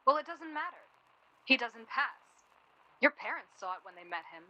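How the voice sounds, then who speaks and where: A woman's voice: a little high, big pitch swings, normal speed, pushed and bright, heavily acted, poor audio. A young woman playing a sardonic, blunt student in a naturalistic period radio drama, with a dry, needling way of talking. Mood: pointed. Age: young adult.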